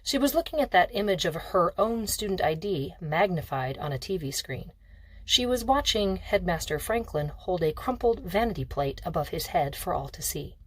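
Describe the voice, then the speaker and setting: A woman reading a novel aloud as expressive scripted narration. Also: on the low side, moderate pace, husky, no room sound. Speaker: audiobook reader.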